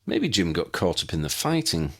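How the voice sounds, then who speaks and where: A man's voice: deep voice, very animated, normal speed, a bit bright, exaggerated voice. Man acting an earnest, swooping young man. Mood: speculating. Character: earnest, reasoning young man, mildly chiding.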